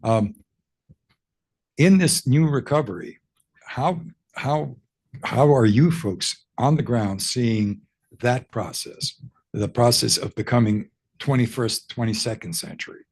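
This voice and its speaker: Husky man asking a rambling question. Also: medium pitch, takes it slowly, pauses often, darkish tone. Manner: rambling, self-correcting. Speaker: audience questioner. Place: press club conference room.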